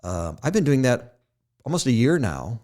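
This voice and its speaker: A man's voice: mid-range voice, very animated, normal speed, darkish tone. A man hosting a podcast, telling self-deprecating stories and laughing at his own jokes. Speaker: podcast host. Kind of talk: casual podcast conversation.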